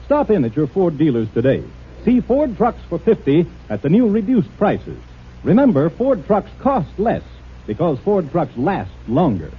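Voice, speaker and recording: A man announcing a 1950 radio commercial with a punchy sales delivery and a raspy voice. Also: a little high, huge pitch swings, moderate pace. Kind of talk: 1950 radio advertisement read. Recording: tinny, narrow old radio broadcast.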